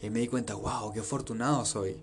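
A man's voice: lowish voice, fairly even intonation, quick pace, a little hiss or hum. A young man from Venezuela talking earnestly and reflectively about his life, often repeating phrases and listing things in long runs. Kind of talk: reflective personal monologue.

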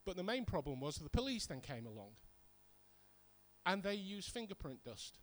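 A man's voice: sits high, very animated, normal speed. A middle-aged British man giving a sermon, telling stories conversationally and repeating points for emphasis. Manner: conversational storytelling with emphatic repetition. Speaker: preacher. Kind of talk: sermon with personal anecdotes.

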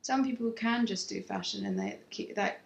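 A woman photographer, talking about her work in a halting, reflective way with frequent restarts. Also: a little high, huge pitch swings, vocal fry, roomy sound. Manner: halting, thinks aloud with many restarts. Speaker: photographer, interview guest. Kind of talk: reflective casual conversation. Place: home.

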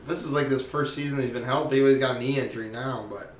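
A man's voice: medium pitch, fast, far from the mic. A man chatting casually about football, cursing often and telling stories with animation. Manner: profane, animated storytelling. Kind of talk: casual sports chat. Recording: echoey room, off-mic, faint noise.

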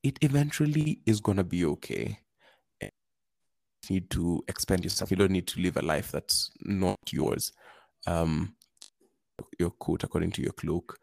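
A man speaking as a podcast guest, giving earnest advice in a halting way, with frequent restarts. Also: deep voice, expressive, vocal fry, a little mellow. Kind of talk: casual advice talk.

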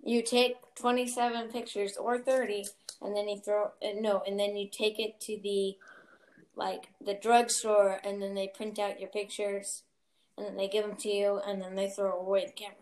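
A woman's voice: medium pitch, flat delivery, normal speed, a little mellow. A young woman hosting a casual chat podcast, talking chattily with lots of fillers and giggles. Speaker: podcast host.

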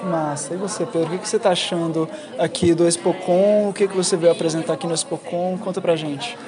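A Brazilian man interviews people in a casual, conversational way. His speech is fast and fairly high for a man, with a clear bustle of people behind him. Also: steady tone. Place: congress venue with crowd. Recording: busy event crowd behind the voice.

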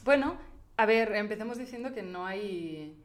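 A woman's voice: mid-range voice, expressive, normal speed. Woman, hesitant and explanatory. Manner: thinks aloud, hesitant, with many fillers and restarts. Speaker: podcast co-host. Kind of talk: casual chat explaining research.

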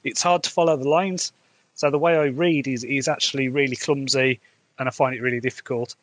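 A man's voice: medium pitch, fairly even intonation, fairly quick. A man with an English Midlands accent, an author hosting his own podcast, talking casually and candidly with a rambling, chatty manner. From England. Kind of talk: casual solo podcast chat.

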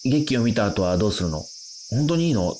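A man's voice: fairly low pitch, very animated, fairly quick, darkish tone, slight background noise. A man playing a bewildered ordinary man in a radio drama, casual and wondering in his dialogue and first-person narration. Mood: probing. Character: bewildered ordinary man entrusted with a future device.

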